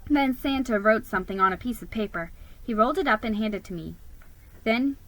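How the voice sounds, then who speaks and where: A woman giving a theatrical, expressive reading of a children's Christmas story. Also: on the high side, fairly quick, poor audio. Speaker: storybook reader.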